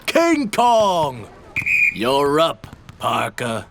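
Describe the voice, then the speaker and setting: Man playing loud, high-voiced jeering teenage jock. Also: wildly swooping pitch, very slow, very noisy, heavily acted. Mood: taunting. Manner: taunting, swaggering. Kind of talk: radio drama acting.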